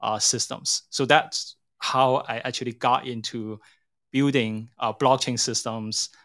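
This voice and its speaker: A man's voice: mid-range voice, steady tone, a little slow, a bit bright. A middle-aged man with a Hong Kong accent, answering interview questions in a hesitant, explanatory way, often rewording as he goes. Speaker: interview guest, tech company CEO.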